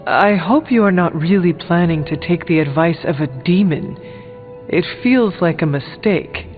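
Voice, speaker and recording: Man playing high-voiced blunt hunter, reverberant. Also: unhurried, faint music behind, close to an everyday voice. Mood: resolute, serious. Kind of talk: scripted video game dialogue. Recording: phone-like game audio, long hall reverb.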